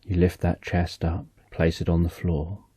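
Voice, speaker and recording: A man narrating a slow, soothing guided relaxation story in the second person, speaking softly and gently. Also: low-pitched, completely flat delivery, breathy edge, no echo.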